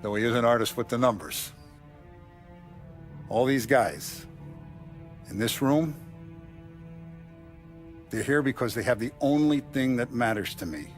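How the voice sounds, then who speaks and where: An actor playing a calm, authoritative mafia boss who lays down rules in a measured, lecturing way, in a game cutscene. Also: male voice, mid-range voice, lightly acted. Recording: faint music behind.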